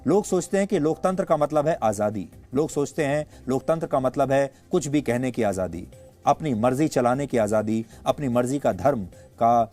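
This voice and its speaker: A male news anchor with an Indian accent delivering opinionated commentary in a deliberate, emphatic way with rhetorical repetition. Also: medium pitch, animated, warm tone. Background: background music.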